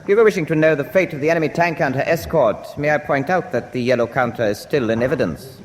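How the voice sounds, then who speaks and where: In a reverberant space with faint noise, a man plays a suave German meddler in a war drama. He speaks quickly, with formal, falsely deferential politeness that insinuates more than it says. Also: on the high side, natural voice. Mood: silkily polite. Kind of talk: full-cast radio drama acting.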